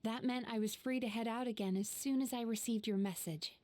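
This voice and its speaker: A woman voicing a gentle, composed young healer in an anime game, with a soft, breathy voice. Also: fairly high pitch, fairly quick, lightly acted. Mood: relieved, calm.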